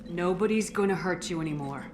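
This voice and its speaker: A woman's voice: fairly low pitch, brisk, background noise, lightly acted. A woman playing a resolute military commander in a video game, direct and controlled. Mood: concerned. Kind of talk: video game cutscene acting.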